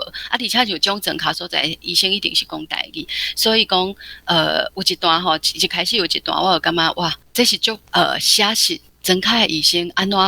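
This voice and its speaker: A radio guest from Taiwan reflecting on a novel, speaking thoughtfully with frequent pauses. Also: mid-range voice, gravelly, pushed and bright, dry recording. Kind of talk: literary commentary.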